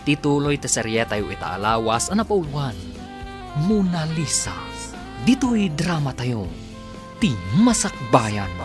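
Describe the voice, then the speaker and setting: A man announcing and narrating a radio serial in a deliberate, theatrical scripted style, with music behind him. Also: medium pitch.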